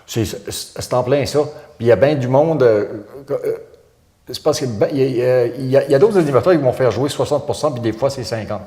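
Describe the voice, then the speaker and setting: A man's voice: mid-range voice, a little fast, dark tone, roomy sound. A man, a volunteer radio host answering interview questions with many hesitations and restarts. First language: French.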